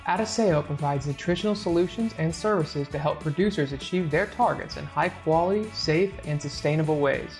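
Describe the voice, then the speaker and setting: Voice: mid-range voice, fairly quick, hardly any pauses, a little mellow. A scripted promotional voice-over by an announcer, polished and selling. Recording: voice over background guitar music.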